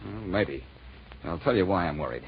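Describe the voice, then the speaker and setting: An actor playing a determined, worried amateur sleuth in a 1940s radio detective drama. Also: male voice, sits low, animated, normal speed, creaky, slight background noise. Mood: worried, earnest. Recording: muffled vintage radio recording.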